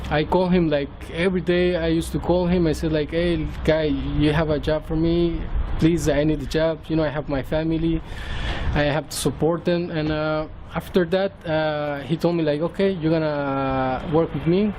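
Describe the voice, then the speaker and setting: A man's voice: a little high, fairly even intonation, moderate pace, darkish tone, rough recording. A man in his thirties with a Mexican Spanish accent gives a personal testimony in English, hesitant, with many fillers and restarts. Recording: outdoor traffic noise behind.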